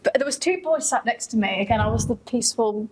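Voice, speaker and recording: A woman's voice: a little high, lively intonation, quick pace, a little hiss or hum, rough recording. A woman chatting casually as a podcast co-host, telling anecdotes and acting out how people reacted. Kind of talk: casual chat, personal anecdotes.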